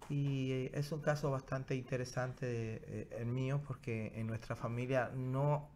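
A man, a guest journalist chatting haltingly with many fillers on a studio mic in a small TV studio. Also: mid-range voice, fairly even intonation, darkish tone, slight background noise. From Dominican Republic. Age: 30s. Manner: hesitant, many fillers and restarts. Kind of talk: casual interview chat. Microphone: studio mic on stand.

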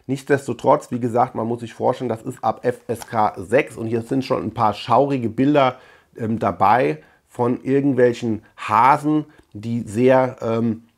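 A man's voice: medium pitch, fairly even intonation, a little slow. Male host, thirties, mid-range voice, conversational review talk, feels for the right word. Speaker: host of a film review channel. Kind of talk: casual review talk to camera. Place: small room full of shelves and collectibles, indoors.

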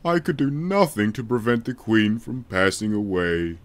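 A man's voice: on the low side, very animated, moderate pace. A man voicing a solemn, remorseful king in a declamatory, dramatic way for a video game fan dub.

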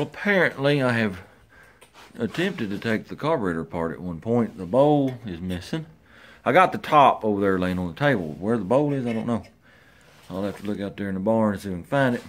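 A middle-aged man in a large garage workshop, talking in an easygoing, wry way as he works on an old motorcycle and chats to the camera. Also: mid-range voice. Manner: easygoing, wry, talks to himself while working. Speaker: garage channel host. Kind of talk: casual repair commentary.

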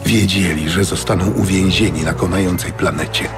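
A man narrating a scripted fantasy audiobook with measured, dramatic storytelling. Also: low voice, fairly even intonation. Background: music.

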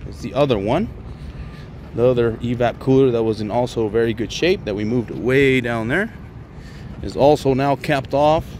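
A man's voice: medium pitch, darkish tone. Plain-spoken man on a noisy rooftop. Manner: plain-spoken, informal, explanatory. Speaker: HVAC contractor presenting a job. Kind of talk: casual on-site walkthrough narration. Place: outdoors on a commercial rooftop. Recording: outdoor handheld recording with ambient noise.

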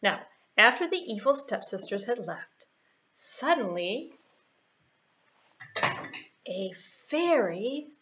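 A woman's voice: on the high side, lo-fi sound, a little hiss or hum. A middle-aged American woman narrating a puppet show for children, with playful asides. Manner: unhurried, animated, playful asides. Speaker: library puppeteer and storyteller. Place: small indoor room.

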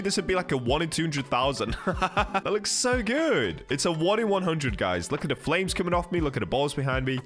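A hyped man commentates on a game for his viewers in a fairly high-pitched voice. His delivery is very animated and exclamatory, stretching words for emphasis, with music playing behind him. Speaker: gaming video creator. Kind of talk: excited gaming commentary, talking to viewers.

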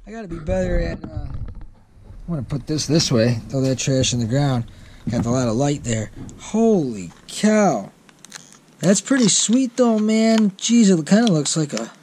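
A man's voice: fairly high pitch, very animated, unhurried, long pauses. Easygoing garage vlogger, man, 30s, talks off the cuff into a body cam with packaging noise all around. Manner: rambling, self-correcting, easygoing. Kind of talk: casual unboxing chat. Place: home garage, seated on a boat. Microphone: wearable action camera. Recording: packaging crackle over voice. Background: crinkling packaging.

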